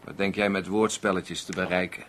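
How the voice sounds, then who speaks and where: Bitter unemployed carpenter in a radio drama, gruff n combative, low sharp voice. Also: male voice, fairly even intonation, a little fast, a little hiss or hum. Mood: gruff, defensive. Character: cynical, bitter unemployed working-class carpenter, man. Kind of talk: naturalistic Dutch radio play acting.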